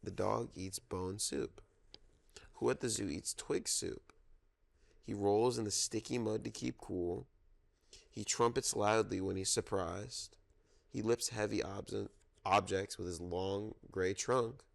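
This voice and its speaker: Slow, gravelly man reading to children. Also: fairly low pitch. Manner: interactive, pauses to prompt listeners for guesses. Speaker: storybook reader at a children's story time. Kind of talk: read-aloud of a children's book with questions to listeners.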